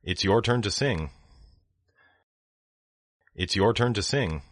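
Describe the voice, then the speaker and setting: A man reading short phrases for language learners, saying each one twice in a slow, deliberate way. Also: fairly low pitch, animated. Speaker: language lesson speaker.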